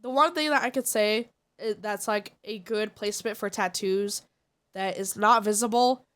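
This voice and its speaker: A woman's voice: medium pitch, normal speed, fairly bright tone. A congested woman streamer chatting casually and giving blunt, opinionated takes. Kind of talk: casual live-stream chat.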